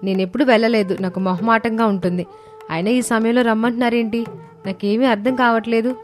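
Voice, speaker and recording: Woman playing a shy servant's wife, highish voice. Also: steady tone, a little fast, soft background music, natural voice. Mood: reluctant.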